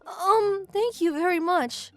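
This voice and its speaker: Woman acting high, sweet schoolgirl Misaki. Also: takes it slowly, no room sound, faint music behind. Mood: shyly grateful. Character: Misaki, sweet earnest lovestruck teenage schoolgirl. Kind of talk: manga dub romcom acting.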